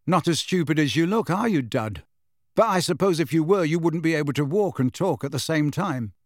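A man performs a teenage boy wizard from a storybook, sarcastic and defiant. His pitch sits fairly high and moves about freely as he taunts. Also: expressive, fairly quick, no echo, clean studio sound.

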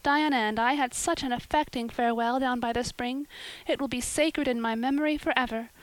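A woman's voice: a little high, fairly even intonation, a little fast, clean tone, dry recording, pitched above the performer's own voice, close to an everyday voice, low-quality recording. A woman voicing a romantic, dramatic young girl in an audiobook, speaking earnestly in flowery, old-fashioned phrases. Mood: melodramatic woe. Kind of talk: audiobook character voice.

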